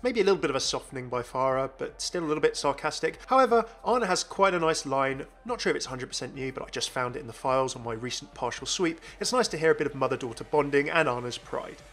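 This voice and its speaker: A man's voice: medium pitch, expressive, fast, few pauses, fairly bright tone. A man hosting a gaming channel, giving chatty, enthusiastic, informal commentary on a video game.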